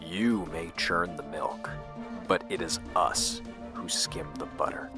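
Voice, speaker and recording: An embittered scientist in a fiction podcast, with a very low voice. He talks in an intense, confiding way with a sardonic edge, over faint music. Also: slow. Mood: smug, explaining. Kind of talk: audio drama dialogue and narration.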